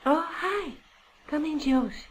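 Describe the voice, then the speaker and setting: An actress playing a self-possessed young woman in a video game, dry and unhurried. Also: high voice, slight background noise, poor audio. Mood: cool, composed. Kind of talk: video game character acting.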